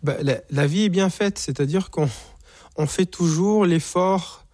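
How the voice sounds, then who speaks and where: A man's voice: sits high, darkish tone, no echo, studio quality. A man from Rwanda, a singer and author, answering interview questions thoughtfully and searching for words with many restarts. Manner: halting, searching for words, frequent repeated words.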